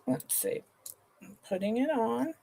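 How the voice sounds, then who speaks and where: Slow, relaxed woman hosting a live sale. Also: fairly high pitch, vocal fry, slight background noise. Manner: chatty, unhurried, trails off and restarts sentences; affectionate to viewers, calls them honey and sweetheart. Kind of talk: slow, relaxed live-stream sales chat.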